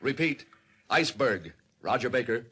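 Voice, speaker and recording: Forceful, clipped military operator. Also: male voice, mid-range voice, expressive, moderate pace, husky, heavily acted. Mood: terse, urgent. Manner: clipped, formal radio procedure, repeats messages. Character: terse military air defense operator relaying alerts. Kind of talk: 1950s radio drama acting. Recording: vintage 1950s radio recording.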